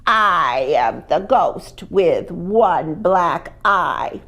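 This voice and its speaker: A woman storyteller voicing a spooky male ghost who chants his lines slowly and drawn out. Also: on the low side, lively intonation, a bit bright, heavily acted. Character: spooky slow-talking male ghost in the cellar.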